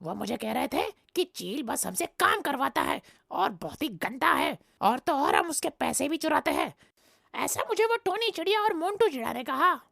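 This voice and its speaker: Kalu, a woman doing a gravelly high crow villain. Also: big pitch swings, moderate pace, a bit bright, no room sound, studio quality, exaggerated voice. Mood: tattling. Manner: sneaky, tattling, gossipy. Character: scheming jealous male crow villain. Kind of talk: cartoon voice acting.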